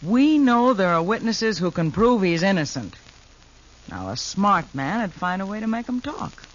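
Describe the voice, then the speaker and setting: An actor playing a frank, supportive frontier friend who urges and advises in a 1950s western radio drama. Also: male voice, high voice, big pitch swings, moderate pace. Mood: frank, urging. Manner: frank, urging. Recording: dull, noisy vintage radio recording.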